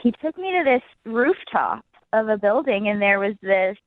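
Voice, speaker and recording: A woman's voice: mid-range voice, animated, normal speed, no echo. Woman caller on a tinny phone line. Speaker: radio show caller. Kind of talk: casual chat. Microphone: phone call. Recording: muffled narrow phone line.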